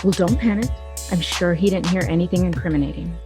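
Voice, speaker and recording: A woman acting in an audio drama as a bold, flirtatious, gossipy best friend with a sassy, expressive conversational manner. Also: on the low side, fast talker, music in the background, lightly acted. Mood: concerned, reassuring.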